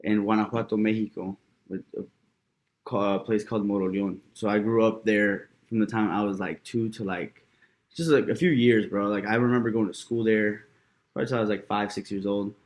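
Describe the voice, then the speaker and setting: A young man in his twenties, from Georgia, telling his story in a relaxed and slangy way. His voice sits on the low side, and he keeps tossing in filler words and calls the host bro. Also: fairly quick. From Gainesville, Georgia, USA. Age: 20s. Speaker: guest. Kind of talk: casual interview.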